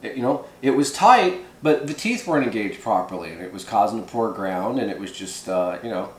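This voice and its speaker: A man's voice: lowish voice, lively intonation, brisk. A man hosting a hands-on how-to video in casual, folksy, rambling talk. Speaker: host of a hobby how-to video. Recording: echoey room.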